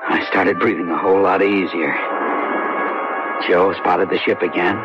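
A gruff small-town sheriff. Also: male voice, sits low, unhurried, loud music behind the voice. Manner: terse, steady first-person narration. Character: determined small-town sheriff narrating his case. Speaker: narrator and lead character. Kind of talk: 1970s radio drama acting. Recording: dull lo-fi old radio broadcast.

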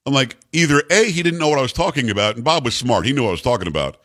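A man with a deep bass voice hosting a talk radio show, loud and upbeat, bantering with his studio crew. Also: animated, rapid-fire, dry recording. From New York. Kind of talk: energetic talk radio monologue.

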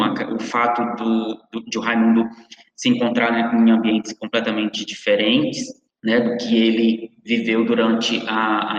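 A man answers interview questions about his writing, pausing and restarting his phrases often. His voice sits at a middle pitch. It rings through an echoey room with a long reverb tail. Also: fairly bright tone. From interior of Ceará, Brazil. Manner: halting, many restarts and "né". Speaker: novelist guest. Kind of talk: casual panel interview.

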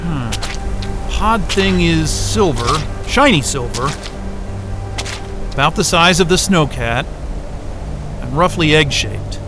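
A sweary gutsy explorer in a sci-fi audio drama, kinda high voice, wry and hesitant, music behind. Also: male voice, animated, slow, pauses often. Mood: awed. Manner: casual, profane, muttering and hesitant. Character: wry, foul-mouthed, gutsy male explorer, caring toward companions.

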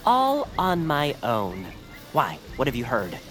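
A woman's voice: very deep voice, wildly swooping pitch, slow, fairly bright tone, faint music behind, exaggerated voice. A woman voicing a nervous, wordy, fussy young creature, a loyal best friend who stammers, in cartoonish audio fiction. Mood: earnest, guilty.

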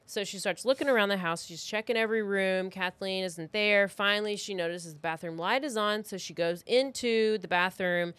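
A woman's voice: mid-range voice, normal speed, few pauses, fairly bright tone, clear, resonant tone, no room sound. A woman hosting a true crime podcast, telling the story in a casual, conversational way with informal, blunt asides, close to the microphone.

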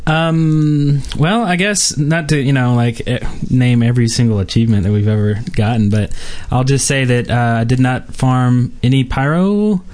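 A man's voice: mid-range voice, normal speed, few pauses, a little mellow. A man hosting a podcast, chatting casually and without a script about games. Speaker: podcast host. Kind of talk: casual unscripted gaming chat.

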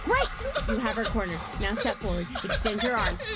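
An actress playing a relentlessly upbeat yet sinister fitness instructor in an audio drama, coaching in a peppy, commanding way with motivational slogans. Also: female voice, mid-range voice, moderate pace, shouting, over loud music, natural voice. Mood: peppy, urging.

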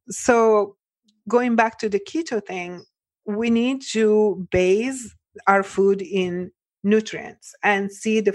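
A woman's voice: medium pitch, dry recording. A middle-aged woman with an Italian accent speaks earnestly as an interview guest, often restarting her sentences. Her voice sounds dry and close, with no room around it. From Italy. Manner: earnest, many "like" and "you know" fillers, frequent restarts. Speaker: nutrition practitioner guest. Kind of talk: podcast interview.

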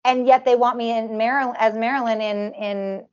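A woman's voice: on the high side, steady tone, normal speed. A woman who performs as a celebrity impersonator, chatting in an interview with a nasal voice and a rambling way of talking, full of fillers and restarts. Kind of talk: casual interview chat. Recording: dull lo-fi audio.

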